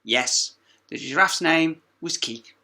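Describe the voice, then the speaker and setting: A woman's voice: low-pitched, slow pace, bright, forceful tone. A woman reading a storybook aloud to young children, with dramatic emphasis and chatty asides.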